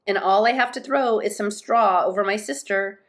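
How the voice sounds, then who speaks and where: A woman's voice: medium pitch, normal speed, fairly bright tone, lightly acted. Tiny storybook girl, read by a woman: fearless, blunt, cheerful, no-nonsense delivery. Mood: brisk, cheerful.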